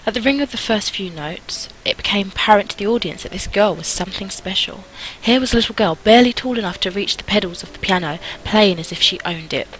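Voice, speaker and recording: Bright-voiced young woman reading a story game. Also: medium pitch, fast, few pauses, a little hiss or hum, poor audio. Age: young adult. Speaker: gaming video host. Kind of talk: reading a visual novel aloud with casual asides.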